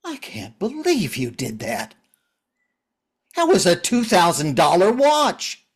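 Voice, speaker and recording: Male actor as grieving widower, slow pace, animated pitch, earnest, pleading, halting, stage drama. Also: breathy edge, exaggerated voice. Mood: upset. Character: grieving, pleading widower, recovering addict on a bridge. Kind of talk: script reading of a stage drama.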